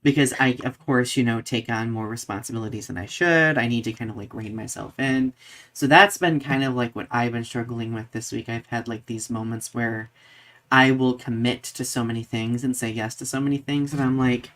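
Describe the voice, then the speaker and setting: Expressive male podcast co-host, medium pitch. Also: a little fast. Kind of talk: casual conversational podcast chat.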